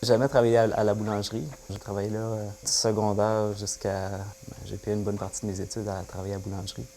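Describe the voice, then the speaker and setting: A man talks calmly in a documentary interview. His voice sits low and stays flat, with a mellow tone. He pauses on soft fillers as he thinks. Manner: calm, soft-spoken, hesitant fillers. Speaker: guitar maker.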